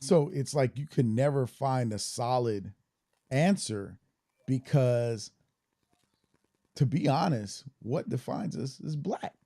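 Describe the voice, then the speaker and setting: A man's voice: medium pitch, animated, slow pace, dark tone, no echo, clean studio sound. A man from Germany co-hosting a podcast, speaking in a reflective, storytelling way. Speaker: podcast co-host. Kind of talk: casual discussion.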